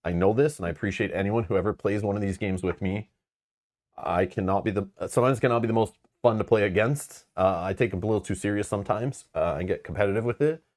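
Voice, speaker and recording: Man with a very low voice, casual stream host, rambly, frank, lots of fillers. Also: fast talker, darkish tone. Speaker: board game channel host. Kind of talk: casual live-stream chat.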